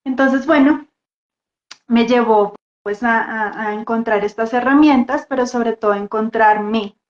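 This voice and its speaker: A woman with a Bogotá Colombian accent introduces herself casually and reflectively, halting and repeating words as she goes. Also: a little high. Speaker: guest dance therapist. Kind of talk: casual personal introduction in a live talk. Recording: echoey room, dull muffled audio.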